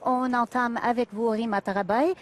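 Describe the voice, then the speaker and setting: Brisk female co-host, raised voice. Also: fairly high pitch, steady tone, slight background noise. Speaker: TV talk show co-host. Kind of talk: interviewer asking questions.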